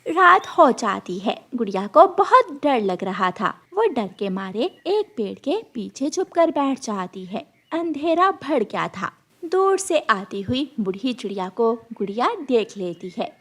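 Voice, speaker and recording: A woman's voice: fairly high pitch, sing-song, measured pace, a bit bright. A woman storyteller reading scripted narration for a children's cartoon story.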